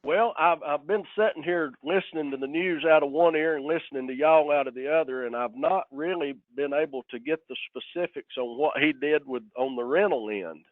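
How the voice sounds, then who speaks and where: A man's voice: a little high, a little fast, hardly any pauses, dry recording. A man speaking as sheriff on a conference call, giving informal, plain-spoken, folksy remarks to a public meeting. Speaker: county sheriff. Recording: tinny, dry phone line.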